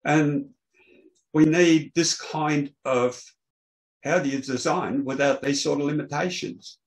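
An older man presenting technical material on a video call, explaining in a halting way. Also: fairly high pitch. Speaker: presenter, loudspeaker engineer. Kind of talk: technical presentation to an online meeting. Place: small indoor room, online video call. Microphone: video call microphone.

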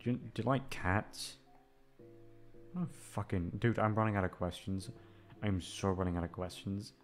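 A man's voice: sits low, moderate pace, faint music behind. A man rambling in casual chat to his listeners, hesitant, repetitive, sweary and self-deprecating. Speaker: audio creator addressing listeners.

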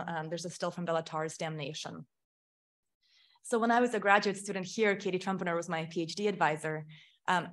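A middle-aged woman from Ukraine, a film scholar giving an academic panel talk in a lecture hall, thoughtful and full of small self-corrections. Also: fairly low pitch.

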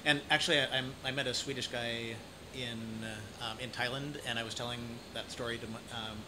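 A man in his forties from Idaho with an American accent, chatting casually as an interviewer in a halting, rambling way. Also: mid-range voice, steady tone. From Idaho, United States. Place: café or restaurant at night. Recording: clearly noisy room ambience.

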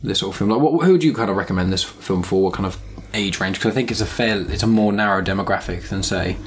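Fast-talking British man with a lowish voice. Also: a little hiss or hum. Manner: rambling, trailing off mid-thought. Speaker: podcast host. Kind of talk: casual film review chat.

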